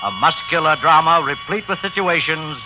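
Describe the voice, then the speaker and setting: A male announcer introduces a 1940s radio drama with formal, theatrical weight. His voice is on the high side. Prominent music plays under him on a vintage broadcast recording. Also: lively intonation, normal speed, rough recording. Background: loud music.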